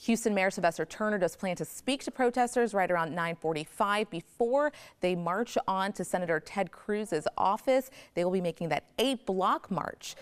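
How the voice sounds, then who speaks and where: A woman anchoring a local morning TV newscast in a studio, reading stories and interviewing a guest in a brisk, clear newscaster manner. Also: medium pitch, lively intonation. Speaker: news anchor. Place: TV news studio.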